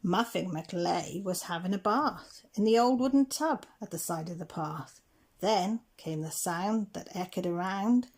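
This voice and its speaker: A woman reading rhyming picture-book stories aloud in a measured, rhythmic way. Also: fairly low pitch, a little mellow. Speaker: children's story reader.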